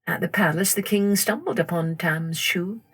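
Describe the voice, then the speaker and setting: A woman storyteller reading a scripted children's tale in a deliberate, expressive manner. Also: lowish voice, breathy edge, faint music behind. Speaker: storyteller, podcast host.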